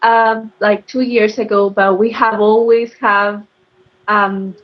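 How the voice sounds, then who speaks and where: Slow, hesitant woman. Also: medium pitch, level tone. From Mexico. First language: Spanish. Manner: hesitant, many ums. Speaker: guest. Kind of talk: casual interview answers. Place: home. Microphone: video call. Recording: dull lo-fi video call audio.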